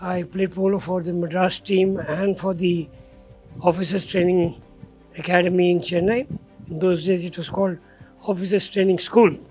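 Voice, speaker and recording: A man's voice: high voice, flat delivery, moderate pace, warm tone, faint music behind, poor audio. An older man, a riding school founder recalling his past steadily in a documentary interview.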